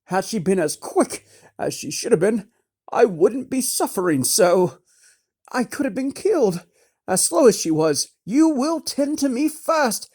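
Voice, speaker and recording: A narrator voicing a shrill, demanding, self-important noblewoman who screeches her complaints. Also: sing-song, unhurried, a little mellow, breathy edge, full character voice. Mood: shrill imperious. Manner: screeching, imperious.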